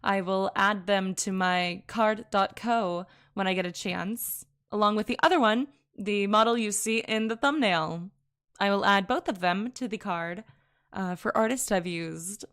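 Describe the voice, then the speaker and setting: Slow-talking giggly woman streamer. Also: medium pitch, fairly bright tone, smooth. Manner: giggly, chatty, laughs often between sentences; self-interrupting, corrects herself mid-sentence. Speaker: VTuber streamer. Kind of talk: casual livestream chat.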